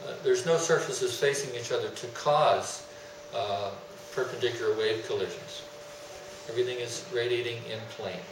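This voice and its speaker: A man giving an explanatory technical presentation in a deliberate, didactic way, stressing his key terms. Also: medium pitch. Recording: roomy, distant mic, faint hum.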